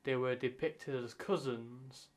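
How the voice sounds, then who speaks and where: A man reacting casually off the cuff, in a halting way, often repeating and rephrasing himself. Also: medium pitch, fairly even intonation. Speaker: reactor and channel host.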